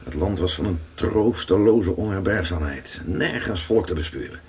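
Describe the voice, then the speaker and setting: Man as a low, husky seafarer telling tales, radio drama. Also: lively intonation, darkish tone, full character voice. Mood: recounting. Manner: slow, vivid recounting. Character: weathered Dutch sailor telling voyage tales. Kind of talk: radio play acting.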